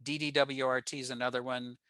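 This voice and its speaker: An older American man presents technical material informally. His voice is bright and forward, with a plain-spoken, explanatory manner. The sound is close and dry, with very little room in it. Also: mid-range voice, level tone, brisk, clear, resonant tone, dry recording. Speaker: guest presenter at a radio club. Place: remote video call.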